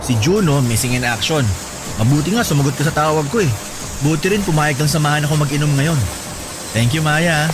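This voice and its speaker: A man's voice: a little high, a little fast, lightly acted. An actor playing a playful young man out drinking with a friend, joking and teasing as he talks. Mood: casual, joking. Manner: joking, teasing, laughs easily. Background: music.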